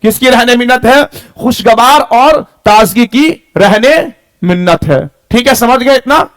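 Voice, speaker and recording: A man's voice: extremely high pitch, lively intonation, unhurried, fairly bright tone. A man teaching an exam-prep lesson, reading out questions and answers, repeating them and checking that students follow.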